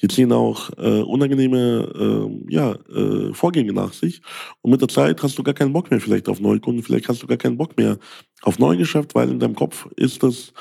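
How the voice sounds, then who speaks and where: A man's voice: mid-range voice, a little fast, gravelly, mellow, slightly rough. A man from Ukraine, a sales coach, talking through a podcast monologue with many fillers and "ja?" tags. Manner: frequent fillers, rhetorical "ja?" tags.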